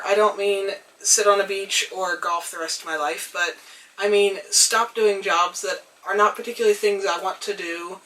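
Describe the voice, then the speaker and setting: A young woman getting over a cold, her voice a little hoarse, talking thoughtfully to the camera with frequent pauses and ums. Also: fairly low pitch, bright, forceful tone, distant mic, a little hiss or hum, poor audio. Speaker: vlogger. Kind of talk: reflective casual talk to camera. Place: tiny house.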